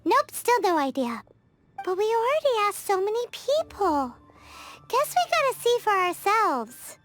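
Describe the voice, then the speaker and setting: A woman voicing a tiny, excitable female fairy companion in a video game cutscene, bubbly and cheeky. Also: very high-pitched, measured pace, heavy background noise, full character voice. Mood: chatty. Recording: game score playing underneath.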